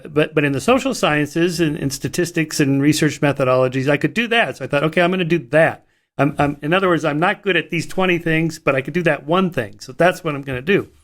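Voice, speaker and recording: A man's voice: fairly high pitch, a bit bright. Chatty, easily laughing male podcast host. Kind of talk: conversational interview.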